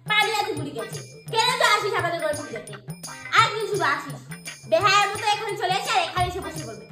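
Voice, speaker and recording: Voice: extremely high pitch, big pitch swings, quick pace, echoey room. A performer voicing a bossy, scornful young girl in a children's cartoon, scolding and threatening in a haughty way over music. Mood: angry scolding. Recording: music behind the voice.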